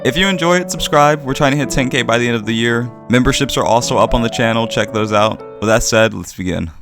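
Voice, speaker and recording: A man's voice: mid-range voice, quick pace, a bit bright, faint music behind. A man hosting and narrating a scripted video essay.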